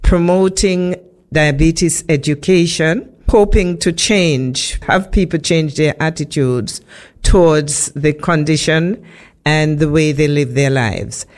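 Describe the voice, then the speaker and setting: A woman's voice: low-pitched, slow pace, a little mellow. A woman interviewing a guest, steering the talk back to his early years. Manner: guiding, steering the conversation. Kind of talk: interview questions.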